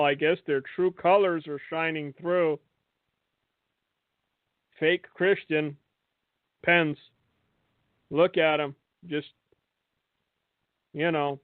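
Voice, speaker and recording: A man hosting a religious broadcast, delivering political and religious commentary in a sardonic, rhetorical way with dramatic pauses. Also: on the high side. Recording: thin phone-like band, echoey room.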